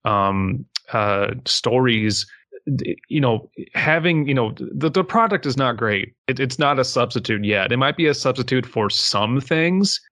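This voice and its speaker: A man's voice: mid-range voice, animated, unhurried, sharp tone. A man co-hosting a podcast in casual discussion, thinking aloud and hedging as he goes. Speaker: podcast co-host.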